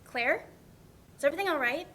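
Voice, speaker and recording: A woman's voice: high voice, expressive, fairly quick, bright, forceful tone, a little hiss or hum. A woman playing a wry, sarcastic young woman with a dry, natural delivery in a play reading. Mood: wry, teasing.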